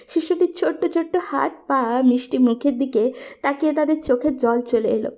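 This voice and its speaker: A woman narrates a scripted love story. Her voice is fairly high in pitch yet soft-edged and mellow, with little brightness.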